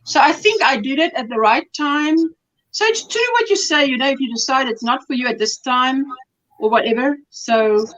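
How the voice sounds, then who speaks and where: A woman from South Africa hosting a crochet livestream, speaking in a thoughtful, measured way and reading out viewer comments. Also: sits high, lively intonation, a bit bright, dull audio. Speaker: livestream host.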